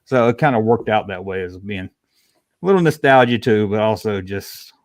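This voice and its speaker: A man's voice: fairly low pitch, moderate pace, no room sound, clean studio sound. A middle-aged man from Georgia with a Southern US accent, chatting casually and folksily as a livestream guest answering viewer questions. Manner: folksy, colloquial. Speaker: livestream guest, toy collector.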